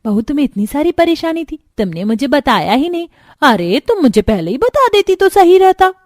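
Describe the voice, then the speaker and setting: A woman's voice: high-pitched, big pitch swings, normal speed, a little mellow, no echo, clean studio sound. A woman voicing a middle-aged, concerned and bossy Indian mother-in-law in a cartoon family story, with exaggerated expression. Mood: surprised.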